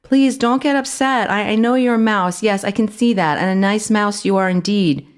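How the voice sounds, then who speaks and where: A polite, curious young boy from a storybook, speaking gently and earnestly, as a woman reads him aloud. His voice sits higher than a grown-up's. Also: big pitch swings, a little slow.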